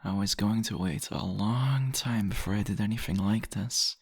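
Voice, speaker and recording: Seductive vampire host male actor, ASMR roleplay. Gravelly, husky murmur, possessive and teasing, very dry close-up sound. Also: on the low side, dry recording. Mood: impressed, menacing. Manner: slow, teasing, murmuring, deliberate. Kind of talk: intimate ASMR roleplay addressed to the listener.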